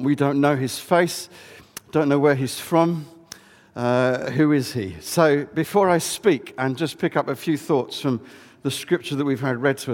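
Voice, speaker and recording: A man's voice: a little high, a little mellow. A middle-aged man from England giving a friendly, conversational address at a church service, with many fillers and restarts. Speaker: guest speaker, charity chief executive. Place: large old church building. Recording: reverberant hall.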